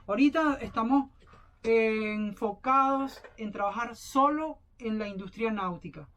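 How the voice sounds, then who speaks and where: A man's voice: very high voice, a little slow, a bit bright, distant mic. A man who is a sailing YouTuber, chatting casually in an interview, rambling and promoting his services.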